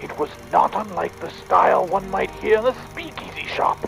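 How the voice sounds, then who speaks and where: A man playing a fussy, excitable Victorian gentleman inventor, speaking a pompous, wordy audio diary in a theatrical drama. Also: high voice, normal speed, vocal fry, faint music behind, full character voice. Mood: awed, excited. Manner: pompous, wordy, hesitant musing.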